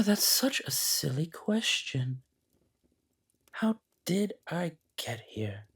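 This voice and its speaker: A man as a husky, taunting ancient monster. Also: mid-range voice. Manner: taunting, theatrical, drawn-out delivery. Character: ancient monster in human guise, mockingly polite and possessive. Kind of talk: dramatic horror audio roleplay.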